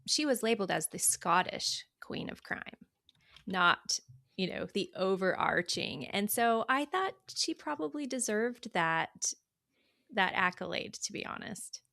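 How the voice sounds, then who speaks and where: A woman's voice: mid-range voice, expressive, a little slow, vocal fry, pushed and bright, no room sound, studio quality. A woman co-hosting a podcast, chatting about books in a casual, reflective, conversational way. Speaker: podcast co-host. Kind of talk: casual book discussion.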